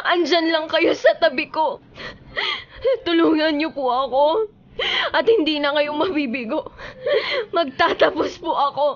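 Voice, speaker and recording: An actress playing a remorseful young student daughter in a Filipino radio drama, pleading earnestly and emotionally. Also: female voice, sits high, dull audio. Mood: tearful, remorseful. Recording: music under the voice.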